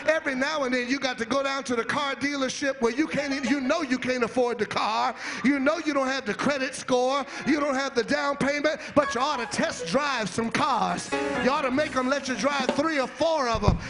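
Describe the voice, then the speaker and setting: A man's voice: very high-pitched, rough recording. A middle-aged American man preaching a fervent, rhythmic and emphatic church sermon into a handheld microphone. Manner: rhythmic, emphatic, repeats phrases to rouse listeners. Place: large church hall, on stage at a podium. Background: live music.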